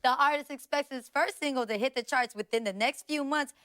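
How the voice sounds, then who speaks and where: A woman's voice: high voice, a bit bright, clear, resonant tone, no echo, studio quality. A woman playing a composed news anchor in a video game, reading scripted reports formally with an American accent. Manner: polished, formal, measured reading.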